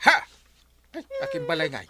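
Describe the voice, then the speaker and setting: An actor playing a hard-drinking, dim, defensive village captain in an audio drama, muttering and stalling with hesitant interjections. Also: male voice, on the high side, huge pitch swings, moderate pace. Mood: flustered. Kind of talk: Ilocano radio-style audio drama acting.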